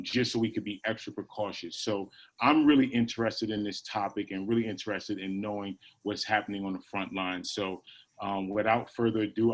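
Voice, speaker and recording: A man moderating a panel over a video call, reading out introductions in a halting, apologetic way. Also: fairly low pitch, poor audio.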